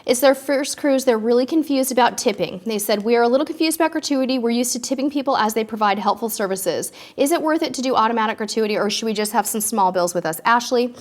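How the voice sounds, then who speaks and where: A woman's voice: on the high side, fast, hardly any pauses. A woman hosting a live cruise-tips stream and answering viewer questions in a chatty, upbeat way, a little stuffy from allergies. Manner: chatty and upbeat, with frequent ums.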